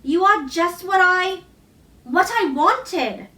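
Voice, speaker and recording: A woman voicing an earnest, excitable young boy in a children's storybook, with an eager, wondering way of talking. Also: extremely high pitch, takes it slowly, voice pushed up, exaggerated voice. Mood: adoring. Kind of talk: children's storybook read-aloud. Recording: roomy echo, distant microphone.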